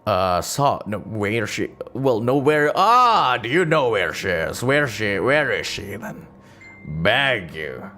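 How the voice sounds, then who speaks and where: A man's voice: fairly low pitch, sing-song, takes it slowly, bright, forceful tone, faint music behind, full character voice. A man role-playing an aging, money-minded adventurer who mutters and rambles in mild exasperation. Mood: defensive, exasperated. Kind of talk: improvised role-play.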